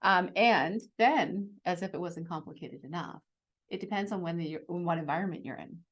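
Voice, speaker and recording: A middle-aged woman explaining things conversationally as a co-host, in a measured, practical way. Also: lowish voice. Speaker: parent sharing parenting strategies as co-host.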